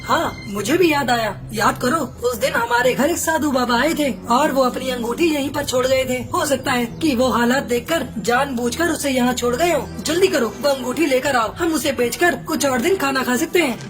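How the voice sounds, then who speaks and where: A woman's voice: high-pitched, normal speed, hardly any pauses, natural voice. A woman voicing an earnest, caring young husband bird in a cartoon moral story. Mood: worried, determined. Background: music.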